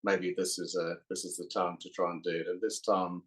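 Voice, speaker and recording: A middle-aged man from South Africa with a South African accent, a guest author talking in a podcast interview, pausing often with fillers. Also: low-pitched, flat delivery, fairly bright tone, breathy edge. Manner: hesitant, many uh fillers.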